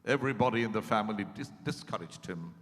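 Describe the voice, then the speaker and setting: An actor in a dramatized stage reading, playing a reflective, regretful male patient who speaks in a theatrical, halting way and corrects himself. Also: on the low side, expressive, a bit bright. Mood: candid reminiscing. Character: reflective, regretful male therapy patient reminiscing about life. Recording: echoing hall, stage reading.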